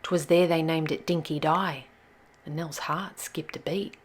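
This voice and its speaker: A woman's voice: low voice, steady tone, measured pace. A woman storyteller reading a rhyming children's picture book aloud with expression.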